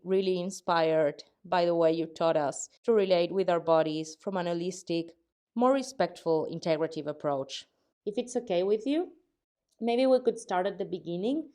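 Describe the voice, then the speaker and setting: A woman hosting a podcast, reading an earnest introduction and then gently asking her guest questions. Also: lowish voice. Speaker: podcast host.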